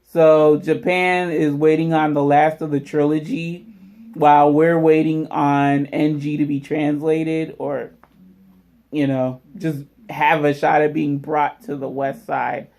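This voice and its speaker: Casual vlog host, mid-range pitch, unhurried delivery, informal and conversational. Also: little pitch movement, vocal fry, a little mellow. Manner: informal, addresses viewers as y'all. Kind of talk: casual unboxing vlog commentary.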